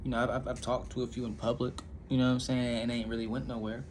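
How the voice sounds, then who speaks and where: A man's voice: mid-range voice, level tone, quick pace, background noise. A young man from Indiana talking candidly to camera in a loose, rambling vlog, stopping and restarting often with lots of fillers. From Indiana, USA. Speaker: vlogger. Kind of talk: casual rambling monologue.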